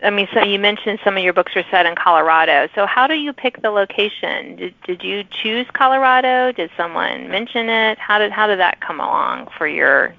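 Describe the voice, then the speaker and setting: A woman's voice: medium pitch, fairly quick, gravelly, hardly any pauses, no room sound, narrow, tinny audio. A middle-aged woman hosting a casual conversational interview, effusive and affirming in how she talks. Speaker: podcast host interviewing an author.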